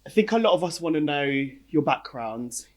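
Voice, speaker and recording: A young woman hosting a podcast, asking eager, lively questions in casual chat. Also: low voice, fairly quick, a little hiss or hum. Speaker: podcast host.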